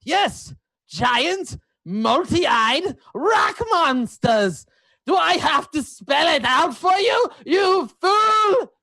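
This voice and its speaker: A man acts out a haughty young nobleman in a tabletop game, very high in pitch and bright. His delivery swings widely and is pompous, formal and emphatic. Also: sing-song, takes it slowly, gravelly, dry recording, heavily acted. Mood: exasperated. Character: haughty, pleading young male aristocrat adventurer.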